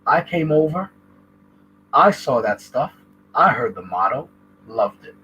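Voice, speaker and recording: A man's voice: medium pitch, big pitch swings, a little slow, bright, forceful tone, dull audio, slight background noise. A man from Haiti hosting a livestream, giving emphatic opinion commentary and stopping often to ask "right?". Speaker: channel host.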